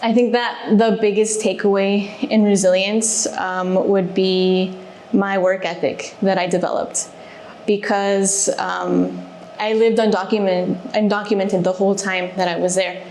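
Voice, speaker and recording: A woman's voice: mid-range voice, flat delivery, a little mellow, slight background noise. Thoughtful woman in echoey room. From Mexico, raised in Alaska. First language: Spanish. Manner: thoughtful, pausing with fillers. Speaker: guest, reservations manager. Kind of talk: casual interview answers. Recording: echoey room, faint ambience.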